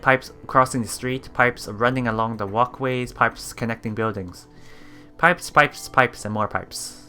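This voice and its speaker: A man doing a let's play, reading visual novel text aloud haltingly, with stumbles, self-corrections and casual asides. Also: medium pitch, bright, forceful tone, clean tone.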